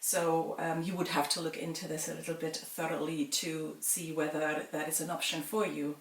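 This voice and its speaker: A middle-aged woman from Germany calmly answers viewer questions in a casual vlog, pausing with frequent ums. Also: deep voice, distant mic. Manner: calm, measured, with frequent ums. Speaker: vlogger. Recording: roomy sound from away from the mic.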